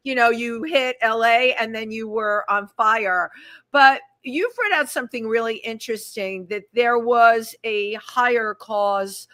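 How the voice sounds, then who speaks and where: A woman's voice: a little high, measured pace, bright, forceful tone. A woman co-hosting a live talk show in a restaurant, who heaps effusive, enthusiastic praise on her guest. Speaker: co-host.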